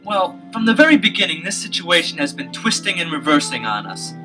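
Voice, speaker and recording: Teen boy sleuth, elder brother, earnest and urgent, very animated, musical underscore of an old LP drama. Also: fairly high pitch, music in the background. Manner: urgent, deliberate theatrical delivery. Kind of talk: 1970s audio adventure acting.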